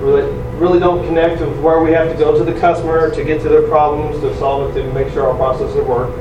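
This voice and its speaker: A man in his forties giving a loud, energetic, self-deprecating presentation to a conference audience. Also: fairly high pitch, quick pace, highs cut off. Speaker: conference speaker. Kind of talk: presentation talk. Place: large conference room. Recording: echoey room from far off, noisy.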